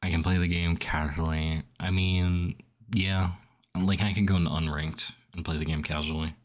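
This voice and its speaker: Young male streamer, very deep, slightly phlegmy, loose filler-heavy chatter. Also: flat delivery, normal speed. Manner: rambling, heavy on "like", reads options aloud then reacts. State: phlegmy throat. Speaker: gaming streamer. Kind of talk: casual commentary while filling out a survey.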